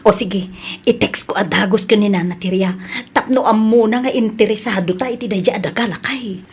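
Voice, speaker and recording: A woman's voice: mid-range voice, brisk, darkish tone, breathy edge. A woman playing a poor, anxious but grateful wife in an audio drama, emotive, with an Ilocano accent. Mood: grateful, hopeful.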